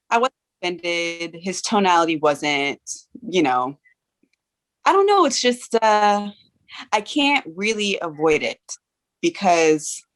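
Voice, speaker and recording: A woman talks casually and circles around her point, padding it with small fillers. Her voice sits fairly low and sounds dry and close. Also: no room sound, low-quality recording. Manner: rambling, fills with "like" and "you know". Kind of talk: casual group conversation.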